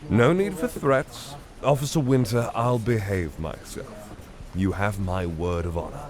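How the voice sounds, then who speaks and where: An actor in an audio drama playing a suave, cheeky master thief with flowery, mock-courteous manners. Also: male voice, on the low side, measured pace, a little mellow, close to an everyday voice. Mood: smooth, placating.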